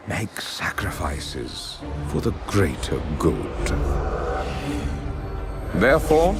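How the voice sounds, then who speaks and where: An actor playing a grandiose aristocratic vampire lord in a video game, speaking in measured, theatrical, pompous oratory. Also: male voice, sits low, exaggerated voice. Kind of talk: dramatic scripted game monologue.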